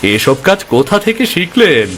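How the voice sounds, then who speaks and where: A man voicing a poor, kindly young village boatman in an animated fairy tale, speaking politely and earnestly. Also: sits high, a little slow, faint music behind, full character voice. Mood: pleased, curious.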